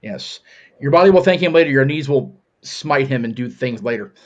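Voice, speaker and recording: A man's voice: mid-range voice, animated, normal speed, dull audio. A man co-hosting a podcast, rambling casually with stammered restarts and loose swearing. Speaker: podcast co-host. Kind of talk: casual chat.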